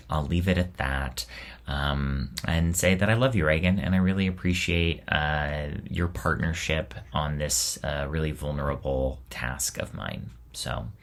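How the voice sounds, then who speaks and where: A man with a low-pitched but bright, forward voice speaks as a show host. He chats casually and reflectively, leaning on words with expressive, drawn-out emphasis. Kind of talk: casual reflective vlog talk.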